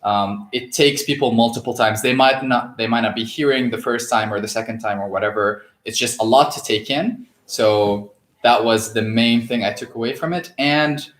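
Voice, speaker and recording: Steady young man, echoey room. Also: mid-range voice, normal speed, few pauses. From Syria. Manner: thoughtful, steady explaining. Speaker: product designer. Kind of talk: casual panel answer.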